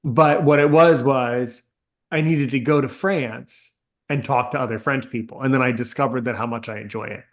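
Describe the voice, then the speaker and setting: A man hosts a relaxed conversational interview, his voice sitting at an ordinary middle pitch. He talks in a rambling way, restarting sentences and leaning on repeated phrases and fillers. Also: moderate pace. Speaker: interview host.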